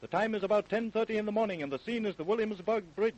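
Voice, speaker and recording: A man's voice: high voice, fast talker, a little mellow, highs cut off. A man playing an urgent, solemn American narrator of an old-time radio crime drama. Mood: dramatic.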